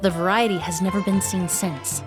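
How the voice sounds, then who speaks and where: A woman's voice: lowish voice, fairly even intonation, music in the background, close to an everyday voice. Woman playing a poised, earnest perfumer. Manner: measured, articulate, explanatory. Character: refined young woman perfumer, calm and earnest. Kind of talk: game voice acting.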